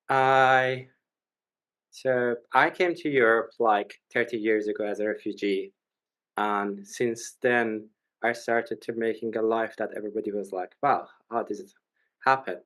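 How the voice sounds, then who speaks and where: A man's voice: medium pitch, a bit bright. A man introducing himself as a panel guest on a video call in a chatty, storytelling way. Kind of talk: casual self-introduction.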